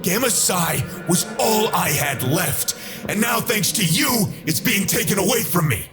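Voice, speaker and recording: A man's voice: sits high, animated, unhurried. A man voicing the enraged, bitter ghost of a young frat man in an audio drama. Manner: confrontational, bitter, forceful. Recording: dramatic music behind.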